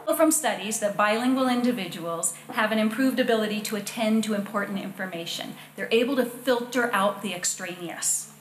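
A middle-aged woman giving a public lecture from a podium, friendly and measured, with some filler words. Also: medium pitch, roomy sound, a little hiss or hum, low-quality recording. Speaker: education researcher and presenter. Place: wood-panelled lecture hall. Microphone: podium microphone.

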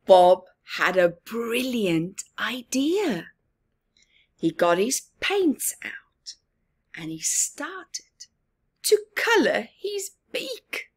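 A woman storyteller in her forties reads to young children with a husky, breathy voice. She goes very slowly, leaving dramatic pauses, and her pitch moves in lively swings. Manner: deliberate, with dramatic pauses and emphasis. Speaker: storyteller reading picture books to children. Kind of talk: expressive read-aloud with asides to young viewers. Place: small living room at home.

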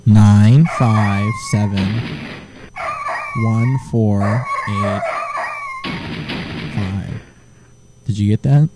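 A man making a prank phone call, deadpan and provocative, drawing the agent along. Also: on the low side, drawn-out words, pauses often, over loud music. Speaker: prank caller.

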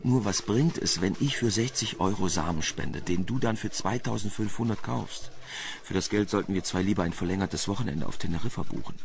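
A man's voice: low voice, fairly quick, gravelly, slight background noise. An actor playing a cheeky, flirtatious male reporter in a wry, teasing manner in a radio crime drama.

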